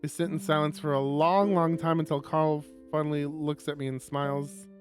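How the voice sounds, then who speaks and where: A man reading a visual novel aloud on a livestream as dramatic, expressive narration with drawn-out phrases. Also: on the high side, soft background music.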